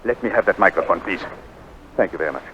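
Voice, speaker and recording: An actor playing a jealous, imperious newlywed husband in a 1940s radio drama. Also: male voice, low voice, lively intonation, fast talker, big-room sound. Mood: indignant. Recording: muffled, noisy, clicking 1940s radio broadcast.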